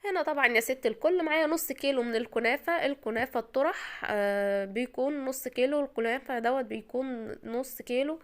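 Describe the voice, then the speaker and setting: An Egyptian woman hosts a home cooking tutorial at a brisk clip, her voice pitched a little high. She sounds tired from a cold, yet stays chatty and reassuring. She speaks straight to the viewer, step by step. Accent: Egyptian Arabic. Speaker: home cooking video host.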